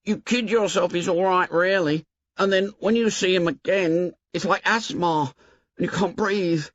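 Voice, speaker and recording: Man doing a high-pitched fretful schoolboy, squeaky and pleading, slow and drawn out, muffled dry sound. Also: fairly bright tone, no room sound. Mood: earnest. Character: anxious, fussy plump schoolboy, bossed and scared.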